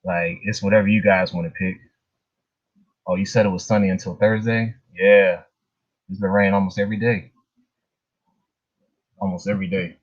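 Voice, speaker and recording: A man's voice: deep voice, steady tone, moderate pace, pauses often, breathy edge. A man hosting a casual livestream, chatting informally with his viewers. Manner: informal, chuckles between remarks. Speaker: livestream host. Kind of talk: casual live chat with viewers. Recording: dull, muffled lo-fi stream audio.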